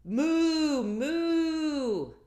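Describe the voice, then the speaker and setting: Woman doing loud, high farm animal calls. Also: lively intonation, extremely slow, echoey room, exaggerated voice. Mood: noisy, playful. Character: loud farm animals mooing, braying and baaing.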